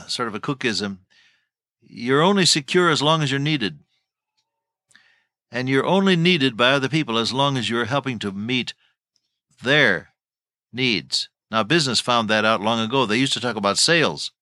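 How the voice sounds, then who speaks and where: A man's voice: medium pitch, animated, moderate pace, pauses often, pushed and bright, no echo, clean studio sound. Older man, folksy radio Bible teacher. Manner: folksy storytelling with chuckles.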